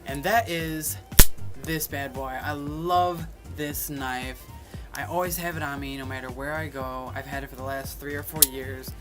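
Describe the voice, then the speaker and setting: A man's voice: medium pitch, fairly even intonation, unhurried. Young man in his twenties, casual vlog chat, phone mic, music playing behind. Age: 20s. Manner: chatty, reads each question aloud, then answers. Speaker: vlogger. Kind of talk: casual chat answering questions to camera.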